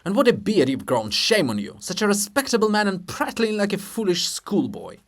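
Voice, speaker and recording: Man acting a bellowing, indignant old monument, voice swinging high. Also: sing-song, brisk. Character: indignant old male monument of a poet, foul-mouthed and menacing. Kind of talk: dramatic play reading.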